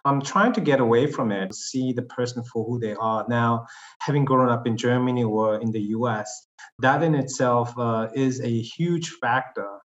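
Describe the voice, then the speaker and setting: A man who is a guest on a podcast, talking in a measured, thoughtful way with hesitant fillers. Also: medium pitch. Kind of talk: conversational podcast interview. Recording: dull lo-fi sound.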